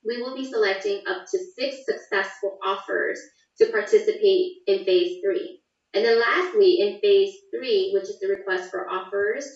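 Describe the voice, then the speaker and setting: A woman's voice: medium pitch, darkish tone. Lady giving formal step by step explanation, sounds a bit far off, muffled dull audio. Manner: methodical, formal wording. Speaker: government contract specialist interviewee. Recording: echoey, distant, dull sound.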